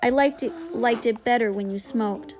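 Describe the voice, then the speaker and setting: A woman voicing a coolly menacing female prison camp warden in an audiobook, soft-spoken, deliberate and threatening. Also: a little high, faint music behind, close to an everyday voice. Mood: quietly threatening.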